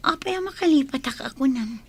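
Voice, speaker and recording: A woman's voice: sits high, lively intonation, brisk, gravelly. Wistful, loving woman. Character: wistful, loving woman left behind by sweetheart. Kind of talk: Ilocano radio drama acting.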